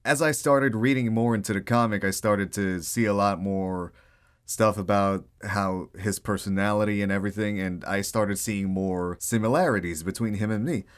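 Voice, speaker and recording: A man's voice: lowish voice, fairly even intonation, normal speed, smooth. A man talking casually and informally about his voice acting work, in an easygoing, self-effacing way. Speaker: voice actor. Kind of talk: casual Q&A talk.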